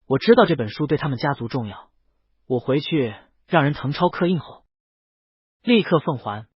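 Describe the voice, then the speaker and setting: Naive young aristocrat male reader acting, earnest and candid, animated intonation, a touch husky. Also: a little high, moderate pace, no echo, muffled recording, exaggerated voice. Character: Xu Chun, earnest, eager, naive rich young nobleman.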